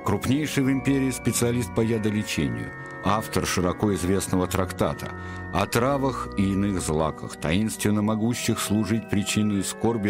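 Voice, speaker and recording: A man reading scripted radio drama narration in a formal, declarative way. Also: fairly low pitch. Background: music.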